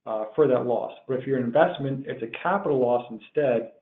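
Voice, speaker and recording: A man presenting a technical webinar in a measured, explanatory way, as if working through prepared points. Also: medium pitch. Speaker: webinar presenter, tax professional. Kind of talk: explanatory tax webinar lecture. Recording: tinny phone-like line, roomy echo.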